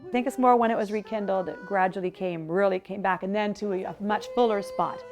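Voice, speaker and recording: A woman's voice: mid-range voice, steady tone, a little fast, darkish tone, soft background music. Earnest woman from Argentina, reflective. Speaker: singer and homeschooling mother. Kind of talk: interview.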